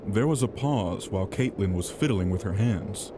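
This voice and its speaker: A man's voice: fairly low pitch, lively intonation, moderate pace, background noise. A man reading scripted narration for an audio drama. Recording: music under the voice.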